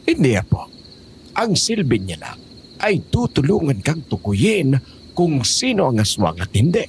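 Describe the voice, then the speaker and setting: A man's voice: on the high side, huge pitch swings, a little slow, a little mellow, slight background noise, exaggerated voice. A man playing a teasing, protective old grandfather in an audio horror drama. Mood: grave, protective. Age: older. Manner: jokey, fond, cautioning.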